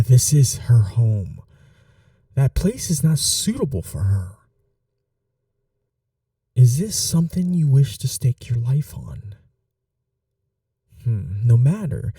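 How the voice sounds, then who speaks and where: A man playing a scheming young prince in an audio roleplay, speaking formally in a hushed, measured, imperious way. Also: medium pitch, dry recording, clean studio sound, recorded from a distance, full character voice. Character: scheming young prince, commanding yet tender to his bride. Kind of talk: dramatic ASMR audio roleplay.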